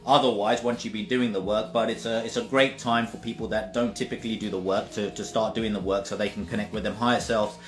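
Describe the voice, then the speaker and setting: A man's voice: fairly low pitch, fairly even intonation, quick pace, few pauses, slight background noise. A man giving a casual spiritual talk, emphatic and repetitive, sometimes swearing. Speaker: spiritual teacher. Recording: roomy echo with faint music.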